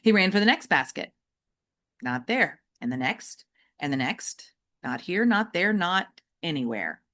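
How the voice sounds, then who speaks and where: A woman reading a children's novel aloud like an audiobook, with deliberate, emphatic and varied phrasing. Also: low voice, fairly bright tone. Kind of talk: expressive audiobook-style storytelling for children.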